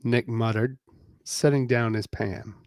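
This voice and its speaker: A man's voice: mid-range voice, steady tone, a little slow, darkish tone, smooth, dry recording, clean studio sound. A man narrating a romance story as a scripted dramatic reading.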